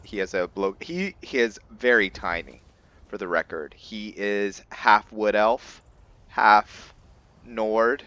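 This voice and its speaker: A man hosting a gaming video, giving casual, halting commentary in an informal, rambling way. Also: lowish voice, a bit bright, smooth, highs cut off. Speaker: gaming channel host. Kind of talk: casual gameplay commentary introducing a roleplay character.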